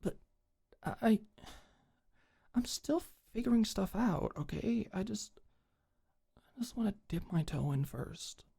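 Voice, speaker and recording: A young woman playing a tough but awkward, secretly tender delinquent girl in an intimate ASMR roleplay, speaking softly and hesitantly. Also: medium pitch, expressive, a little slow, vocal fry, pauses often, husky, exaggerated voice. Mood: nervous, earnest.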